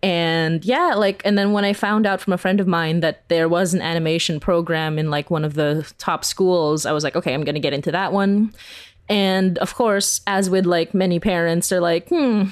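A woman's voice: on the low side, steady tone, normal speed, dry recording, clean studio sound. A woman chatting casually as an interview guest, giggly and peppering her talk with "like". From Philippines. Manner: chatty, giggly, uses "like" constantly. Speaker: interview guest, animator and comics creator.

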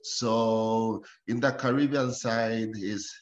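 Laid-back low-voiced man in a roomy space. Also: takes it slowly, dull audio. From Costa Rica. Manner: laughs often, relaxed storytelling. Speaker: professional footballer, guest. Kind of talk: casual interview chat.

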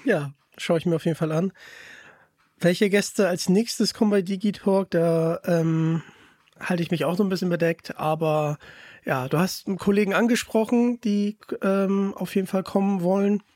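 A man's voice: high-pitched, fairly even intonation, normal speed, darkish tone, dry recording, clean studio sound. A man hosting a podcast interview in a casual, chatty way. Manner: informal, chatty.

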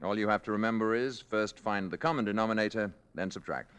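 An actor playing a grave, courteous alien emissary in a 1950s radio drama, speaking in a measured, formal way. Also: male voice, on the low side, fairly bright tone, clean tone, close to an everyday voice. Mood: gentle, courteous. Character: calm, grave, courteous male alien emissary posing as lodger. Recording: 1950s radio broadcast recording.

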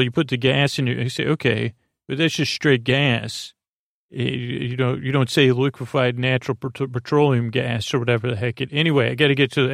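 Creaky-voiced, meandering man hosting a sleep podcast. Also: medium pitch, dry recording, studio quality. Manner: meandering, halting, stumbling over words and drifting into tangents; lulling, gentle. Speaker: podcast host. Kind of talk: rambling, soothing sleep-podcast monologue.

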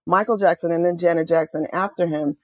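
A woman's voice: on the low side, brisk, no room sound. A middle-aged woman from Oakland, California, an author and music journalist, telling stories with enthusiasm in an interview. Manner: enthusiastic, animated storytelling with quoted asides. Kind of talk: conversational interview.

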